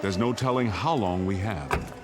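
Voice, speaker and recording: A man's voice: low-pitched, normal speed, very noisy, natural voice. An American man acting in a radio drama as a level-headed, middle-aged father who takes command. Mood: urgent, controlled.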